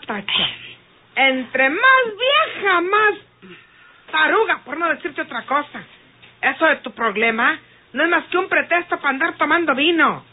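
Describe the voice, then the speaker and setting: An actress playing a bossy, sharp-tongued rural Mexican woman in an old radio soap, scolding with emphasis. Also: sits high. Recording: tinny band-limited old radio drama.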